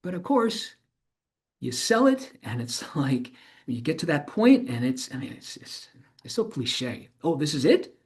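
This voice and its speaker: A man performing a dramatized monologue as an earnest, reflective middle-aged former tech entrepreneur, halting and searching as he speaks. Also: a little high, sing-song, darkish tone. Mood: candid, self-critical. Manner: halting, searching, self-correcting delivery with emphatic swells. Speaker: stage performer of a true-story monologue. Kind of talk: dramatized monologue in conversational interview manner.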